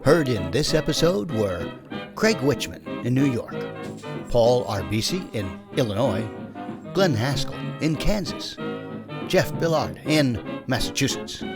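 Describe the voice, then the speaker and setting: A man announces scripted closing credits. His pitch is mid-range and moves around in a lively way. Loud music plays underneath the whole voice. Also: measured pace. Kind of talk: scripted narration.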